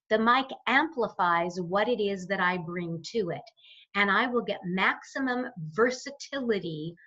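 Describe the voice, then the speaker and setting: A woman's voice: mid-range voice, sharp tone, lo-fi sound. A woman speaking as a voice coach in an interview, explaining and demonstrating in an instructional way. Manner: demonstrative, explanatory, deliberately varies resonance. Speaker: voice coach guest.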